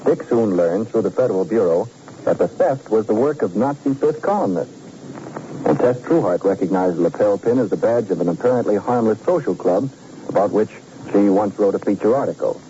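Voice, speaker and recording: A man's voice: on the low side, expressive, brisk, warm tone, close to an everyday voice. A man narrating a detective serial in scripted 1940s radio style. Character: male storyteller of a detective serial. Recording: muffled vintage radio recording, faint noise.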